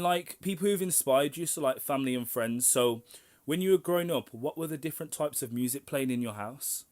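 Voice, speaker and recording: A man hosting a casual interview, speaking enthusiastically with friendly slang. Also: mid-range voice. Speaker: interview host.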